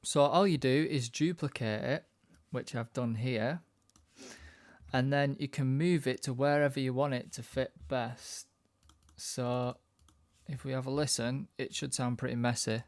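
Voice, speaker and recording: A man with a medium-pitched, noticeably creaky voice talks through a production tutorial in an informal way. He thinks aloud as he works, and the sound is dry and close, with little room. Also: fairly even intonation, a little slow, no room sound. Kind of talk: casual software tutorial narration.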